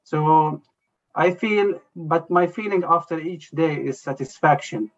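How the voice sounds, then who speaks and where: A man answers interview questions in a gravelly, creaky voice. He talks haltingly, restarting often and leaning on "you know". The sound is dull and lo-fi. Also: a little high. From Jerusalem. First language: Arabic. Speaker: journalist guest.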